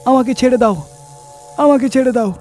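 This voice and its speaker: A terrified, possessed villager played by a male reader pleads in a strained voice. The pitch leaps far above a normal male register. It belongs to a horror audio tale. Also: fairly even intonation, moderate pace, darkish tone, soft background music. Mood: terrified pleading.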